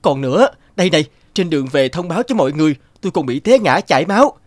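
An actor playing a greedy, excitable young peasant man in a fairy-tale cartoon, dramatic and given to exclaiming and pleading. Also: a little high, fast talker, no room sound, studio quality. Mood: insistent. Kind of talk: animated fairy-tale character acting.

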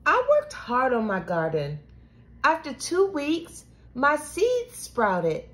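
A woman reading a children's storybook aloud with expressive, deliberate delivery. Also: high voice. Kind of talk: scripted children's storybook narration.

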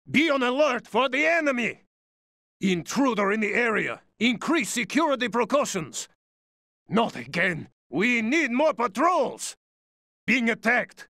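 A man's voice: extremely high pitch, creaky, pushed and bright, dry recording, clean studio sound, exaggerated voice. A man playing an alert, dutiful enemy soldier in a video game, giving clipped military reports.

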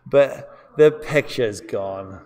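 Man, New Zealand accent, university law lecturer, casual and chatty, quizzes students, middle pitch, echoing lecture hall. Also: very slow, a little mellow. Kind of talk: live-streamed seminar teaching. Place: lecture room with long reverb. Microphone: distant room microphone. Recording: reverberant lecture hall sound.

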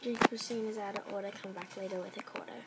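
A woman's voice: medium pitch, steady tone, fast, background noise. A young woman chatting casually and teasing a friend in the same room. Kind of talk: casual chat.

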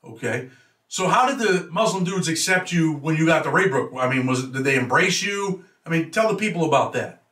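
A man's voice: a little high, lively intonation, a little fast, fairly bright tone. A middle-aged man hosting an interview show in a casual, direct way. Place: indoor room with banners. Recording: roomy, slightly distant mic.

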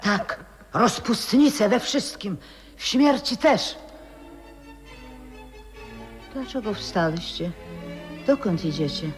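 An actress playing a wistful old former opera singer in a 1960s radio drama, speaking lyrically and dramatically, sometimes dropping into whispers. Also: female voice, medium pitch, expressive, slow pace, music in the background. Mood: indignant. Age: older. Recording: reverberant 1960s radio play recording.

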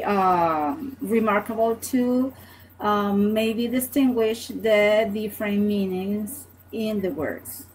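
A middle-aged woman from Venezuela with a Venezuelan Spanish accent, a native Spanish speaker teaching an online language lesson in a halting, hesitant way. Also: medium pitch, darkish tone, a little hiss or hum, rough recording. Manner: halting, hesitant, repeats words. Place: small home office, indoors. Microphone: computer webcam at desk distance.

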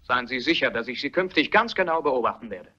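An actor playing a suspicious, accusing gentleman of the house in a German radio play, insistent and sharp. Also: mid-range voice, animated, brisk. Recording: dull, muffled radio play recording.

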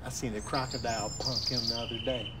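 A man's voice: mid-range voice, flat delivery, fast talker, background noise, pitched below the performer's own voice, natural voice. A man voicing a suspicious, needling young lion cub in a comedy dub, muttering challenging questions. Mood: sullen, challenging.

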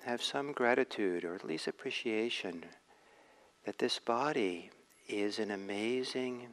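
A man leading a guided meditation as a teacher, speaking in a measured, reflective way with frequent pauses. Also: medium pitch, poor audio.

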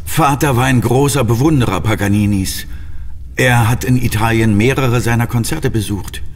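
A reserved, well-mannered nobleman played by a man in an audio drama. His voice is husky and mid-pitched, carrying long hall reverberation. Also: normal speed, lightly acted. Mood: polite interest. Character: polite, reserved aristocratic gentleman. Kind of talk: German audio drama acting.

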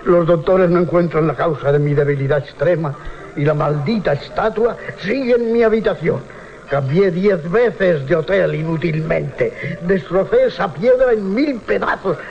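A frail old sailor speaks in a high, creaking voice with a Spain accent. He is desperate and haunted, in the manner of 1980s radio drama, on a muffled recording. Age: older. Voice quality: creaky, aged voice.

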